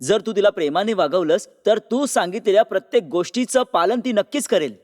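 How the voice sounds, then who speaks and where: A man's voice: high-pitched, expressive, brisk, darkish tone. A man playing an earnest, persuasive son in a cartoon moral story. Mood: pleading. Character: earnest, pleading men of a family, son and father. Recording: long hall-like reverb.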